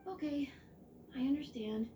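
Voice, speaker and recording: A woman's voice: high voice, a little slow, dark tone. A woman voicing a small, polite, timid child in a video game. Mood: meek, grateful. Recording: roomy, distant, noisy game capture.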